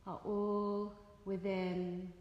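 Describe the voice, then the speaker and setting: A woman yoga teacher narrating a guided relaxation in a soothing, measured way with long pauses between phrases. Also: medium pitch, little pitch movement, lo-fi sound. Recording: echoey room with long reverb.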